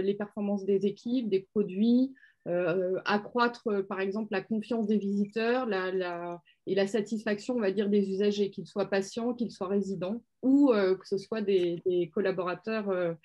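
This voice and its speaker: A woman's voice: medium pitch, a little mellow. A woman who works as a color strategy expert, explaining her work on a webinar over a video call, with lots of fillers. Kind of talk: webinar presentation.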